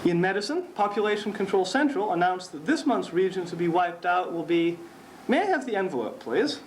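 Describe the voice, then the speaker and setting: Man playing a high-pitched mock-serious news anchor. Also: lively intonation. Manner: mock-serious, measured newsreader delivery. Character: deadpan male TV news anchor of the future. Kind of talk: scripted humorous newscast reading. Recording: faint noise, amateur 1970s recording.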